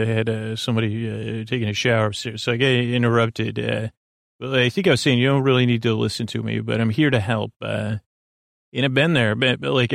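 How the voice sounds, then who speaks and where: A man hosting a sleep podcast, talking in a meandering, halting way with many fillers and restarts. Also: mid-range voice, gravelly, dry recording, clean studio sound. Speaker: podcast host. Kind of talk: rambling sleep podcast intro.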